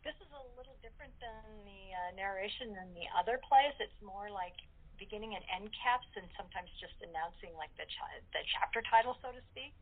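A woman's voice: medium pitch, a little fast. A woman chatting as an interview guest in an easygoing, slightly hesitant way over a narrow phone connection. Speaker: voice actress and narrator, interview guest. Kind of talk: casual interview chat. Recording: narrow, muffled telephone line.

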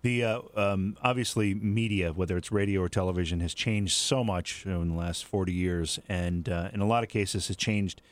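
A man's voice: sits low, moderate pace, hardly any pauses, no echo. A middle-aged man hosting a casual radio interview show with call-ins. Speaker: radio host.

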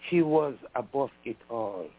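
A man's voice: mid-range voice, expressive, a little slow. A man calling in to a show to read a poem, chatty and philosophical. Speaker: caller reading poetry. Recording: tinny phone line.

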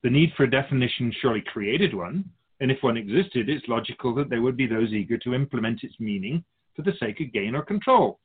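Radio drama spirit guide, acted by a man: wry, didactic sage, husky and lively in pitch, narrow telephone-like sound. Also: fairly quick. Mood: measured, instructive. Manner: didactic, wry, formal phrasing. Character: Keeper of Souls, wise, wry otherworldly male spirit guide. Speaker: spirit teacher answering questions. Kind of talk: scripted radio drama dialogue, expository.

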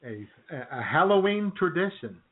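A man's voice: high-pitched, big pitch swings, moderate pace. A man co-hosting a radio show in casual banter. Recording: thin phone-like band.

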